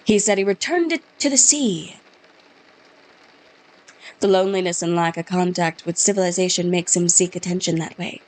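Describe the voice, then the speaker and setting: A woman's voice: fairly low pitch, moderate pace, long pauses, lightly acted, poor audio. A woman reading game dialogue as a curt, dismissive male police officer, using a put-on Scottish accent. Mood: scornful. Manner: curt, scornful, brushes off the witness.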